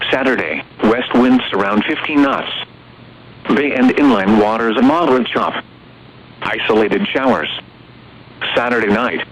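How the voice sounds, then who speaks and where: A man's voice: medium pitch, gravelly. Synthetic male weather radio voice. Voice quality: flat, evenly paced text-to-speech delivery. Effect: computer-synthesized voice. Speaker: automated weather broadcast voice. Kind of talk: synthesized weather report reading. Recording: muffled radio receiver recording with faint hiss.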